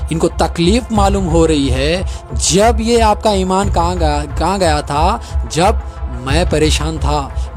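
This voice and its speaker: A man with a Hyderabadi accent speaks in a somewhat high voice. He addresses his viewers emphatically and accusingly, repeating phrases for weight. Music plays behind him. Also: a little mellow. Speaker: host of a clarification video.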